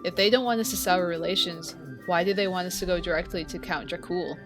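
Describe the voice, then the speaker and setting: A woman's voice: lowish voice, a little fast, music in the background, lightly acted. A woman playing a seasoned, friendly vampire hunter who mentors a newcomer in a conversational, teasing way in a fantasy audio roleplay. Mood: explaining, calm. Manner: conversational, explanatory, playful teasing. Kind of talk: scripted fantasy audio roleplay addressed to the listener.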